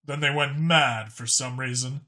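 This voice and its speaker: A man's voice: mid-range voice, steady tone, unhurried, bright, forceful tone. A man voicing a talkative alien dragon informant who explains things knowingly and with emphasis in an audiobook story. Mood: solemn, explanatory.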